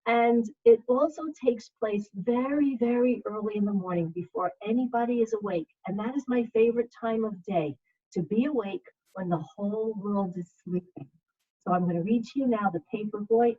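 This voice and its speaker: Female primary teacher, slightly high and breathy-rough, measured children's read-aloud delivery, narrow video-call sound. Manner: measured, simple phrasing for young listeners. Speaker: second grade teacher. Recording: muffled, phone-like video call audio.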